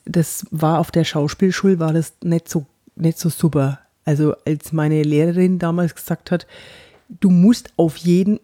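A woman from Franconia with a light Bavarian lilt, an actress and body-language coach giving a chatty podcast lesson on clear speech and slowly guiding mouth exercises. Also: low voice, warm tone. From Franconia, Germany. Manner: guides exercises slowly and deliberately; chatty and personal.

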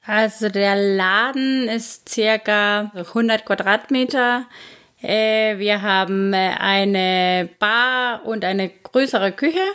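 A woman in her thirties from Guatemala speaks German with a Spanish accent in a casual interview. Her voice sits at an ordinary middle pitch. She searches for words and hesitates often, and she makes small slips of grammar. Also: slow, fairly bright tone, clean tone. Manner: frequent hesitations, non-native grammar. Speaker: bar owner, guest.

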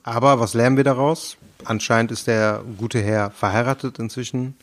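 A man's voice: medium pitch, fairly even intonation, normal speed. A young man co-hosting a podcast in casual chat, easygoing, prompting and commenting on what he is told. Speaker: podcast co-host.

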